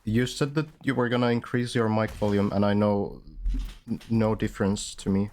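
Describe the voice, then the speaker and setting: Casting note: man, low voice, chatty esports caster who rambles and restarts a lot. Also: level tone, moderate pace, a little mellow. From Finland. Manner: rambling with many fillers and restarts. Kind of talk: casual game commentary.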